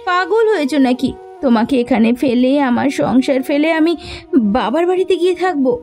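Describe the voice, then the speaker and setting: A woman's voice: high-pitched, animated, fairly quick, a little mellow. A woman voicing a devoted, stubborn young village housewife in a cartoon, with a pleading, emphatic delivery. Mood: insistent, devoted. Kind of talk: Bengali fairy-tale cartoon dubbing. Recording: music bed under the voice.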